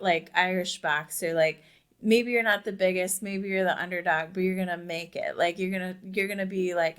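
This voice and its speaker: A woman's voice: fairly low pitch, fairly bright tone. A young adult woman co-hosting a casual podcast discussion, talking emphatically and dropping "like" in very often. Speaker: podcast co-host.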